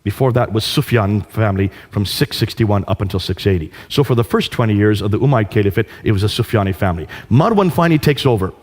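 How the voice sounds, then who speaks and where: A man's voice: lowish voice, lively intonation, quick pace, few pauses, a little mellow. A man giving a public lecture off the cuff in an emphatic manner, repeating phrases for stress and posing rhetorical questions. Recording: hall with long reverb.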